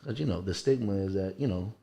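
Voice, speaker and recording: A man's voice: deep voice, fast talker, creaky, mellow. A man, a coach being interviewed, talking informally and earnestly, repeating phrases for emphasis. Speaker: coach and gym owner, podcast guest. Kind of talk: casual interview chat.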